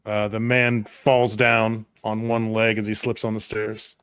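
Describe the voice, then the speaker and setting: A man's voice: on the low side, flat delivery, unhurried, fairly bright tone, clean tone, dry recording. A man narrating a tabletop horror game as game master in terse, dramatic, vivid phrases.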